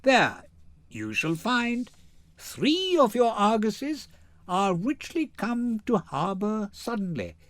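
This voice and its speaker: An older man acts a witty young noblewoman from a Shakespeare comedy. She speaks slowly and with poise, her pitch swinging expressively, in a close, dry recording. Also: huge pitch swings, a bit bright, no echo, heavily acted. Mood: poised, authoritative. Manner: measured, poised, theatrical. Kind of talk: dramatic Shakespeare reading.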